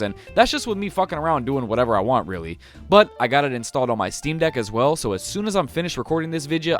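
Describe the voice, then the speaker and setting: A young American man reviewing a video game for viewers in a casual, slangy, profane and emphatic way. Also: medium pitch. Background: music under the voice.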